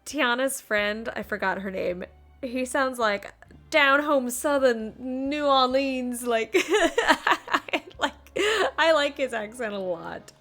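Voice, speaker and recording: A woman game streamer reacting casually to a playthrough, playful and expressive, half talking to herself. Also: high voice, takes it slowly.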